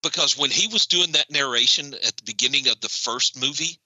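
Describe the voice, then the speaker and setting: Bright animated man in a dry room. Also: mid-range voice, fairly quick, dry recording, low-quality recording. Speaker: podcast co-host. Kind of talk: casual chat about a TV episode.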